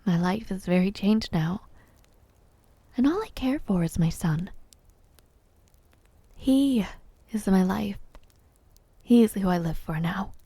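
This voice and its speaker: A woman playing a wistful, gently teasing royal mother in an intimate audio roleplay, soft-spoken and measured, often dropping toward a whisper. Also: mid-range voice, animated, husky, no echo, full character voice. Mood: wistful, resigned.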